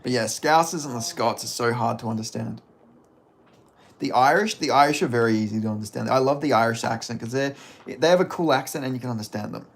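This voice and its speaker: A man's voice: mid-range voice, fairly quick. A man chatting casually on a livestream, sweary and self-deprecating about his own skills. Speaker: streamer doing impressions.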